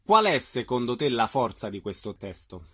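A man working as an interviewer, putting measured questions to a guest. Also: medium pitch, sing-song, fairly bright tone, slightly rough. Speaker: radio interviewer.

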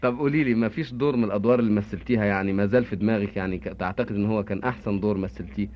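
A man with an Egyptian accent interviewing a guest on the radio, friendly and quick to laugh along. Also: lowish voice, slight background noise. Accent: Egyptian colloquial. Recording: dull lo-fi archival radio recording.

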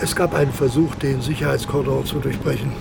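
An actor playing a stern, formal military officer in a radio drama, speaking in a clipped, official, commanding way. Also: male voice, medium pitch, steady tone, brisk, creaky, noisy background. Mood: formal, controlled. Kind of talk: German radio drama acting.

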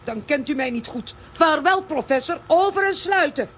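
High-pitched bossy, feisty aunt. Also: female voice, lively intonation, normal speed, fairly bright tone, slight background noise. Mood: dramatic, defiant. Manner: commanding, theatrical. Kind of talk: children's radio play acting.

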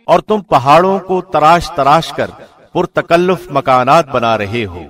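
A man narrating a scripture translation in a formal, measured, solemn announcer's delivery. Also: on the high side, animated, a bit bright, soft background music.

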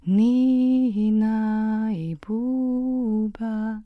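Very high, slow lullaby-singing mother. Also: narrow, tinny audio. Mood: tender. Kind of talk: sung lullaby in a radio play.

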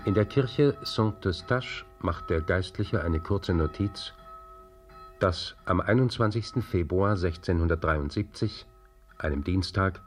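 An actor as a sober, grave narrator reading scripted radio drama narration. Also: male voice, deep voice, a little slow, faint music behind.

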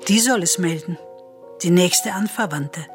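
An actress playing a sober chorus woman in a Greek tragedy for radio, speaking in a grave, formal, deliberate way. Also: fairly low pitch, over music, natural voice. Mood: grave, counselling. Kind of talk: solemn classical radio drama acting.

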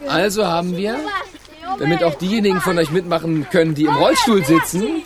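A woman playing an energetic organiser who calls a children's table tennis match in a radio play, loud and excitable. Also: lowish voice, brisk, close to an everyday voice. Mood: commanding. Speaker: sports announcer. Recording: loud music and crowd behind.

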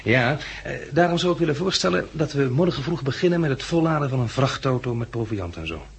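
An actor in a 1960s Dutch radio drama playing a practical, grimly realistic male survivor, matter-of-fact and thinking aloud with hesitant pauses. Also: medium pitch, animated, gravelly, husky, full character voice. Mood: businesslike. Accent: Dutch, from the Netherlands.

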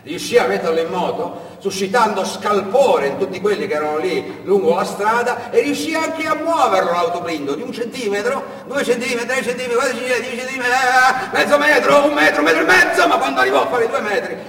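A man narrating a dramatic stage monologue as an actor, with deliberate pauses and swells. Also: very high voice, a bit bright. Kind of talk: theatrical storytelling monologue. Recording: echoey hall, distant mic, faint noise.

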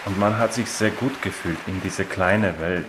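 Deep-voiced, halting man reflecting. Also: noisy background. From Poland. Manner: halting, emphatic, searching for words. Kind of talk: reflective personal testimony. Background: music.

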